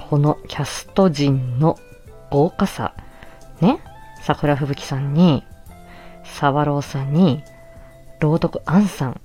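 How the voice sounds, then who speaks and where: A woman's voice: deep voice, takes it slowly, long pauses, dark tone, faint music behind. A woman hosting a casual solo audio stream, talking in a chatty, polite, explanatory way. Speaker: host and amateur voice actress.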